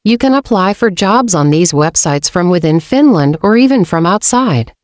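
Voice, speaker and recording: A woman hosting a channel, reading an informational script in a steady, measured way. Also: on the low side, no echo, studio quality. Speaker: channel host.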